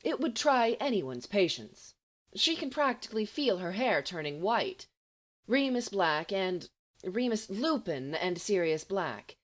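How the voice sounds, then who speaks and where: A woman reading fiction aloud as an audiobook narrator, in an expressive scripted storytelling style. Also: mid-range voice, unhurried. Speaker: audiobook reader.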